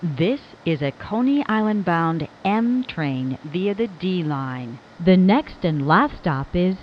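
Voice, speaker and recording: Lofi subway announcer lady, really slow n formal, clear words, muffled recording. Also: lowish voice, animated, slight background noise. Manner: measured, clearly enunciated, formal. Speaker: automated subway train announcer. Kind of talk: scripted transit announcement. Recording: dull lo-fi recorded train announcement.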